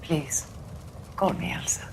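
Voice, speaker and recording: An actress playing a poised, earnest woman in a noir video game, speaking politely in a hushed, formal way. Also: takes it slowly, noisy background, close to an everyday voice. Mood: earnest, subdued.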